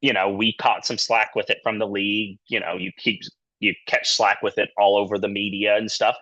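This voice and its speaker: Male physician as guest lecturer, low-pitched yet forceful, conversational and loosely worded, on dull band-limited audio. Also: flat delivery, moderate pace, no room sound. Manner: conversational, loosely phrased, peppered with "you know" and "kinda". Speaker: sports medicine physician giving a guest lecture. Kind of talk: informal lecture with anecdotes and career advice.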